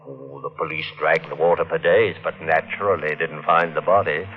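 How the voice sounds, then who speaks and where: A man's voice: a bass voice, fairly even intonation, normal speed. An actor playing a cold, scheming husband who narrates his own crime, speaking in a hard, curt, sardonic way in a 1950s radio drama. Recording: dull vintage 1950s radio recording.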